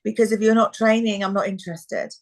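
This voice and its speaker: A woman talent agent on a video call, talking frankly with lots of fillers, her voice a little hoarse. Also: mid-range voice, steady tone, brisk. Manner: frank, many fillers and restarts. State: slightly hoarse. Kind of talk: live video call chat. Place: quiet room in a rental house.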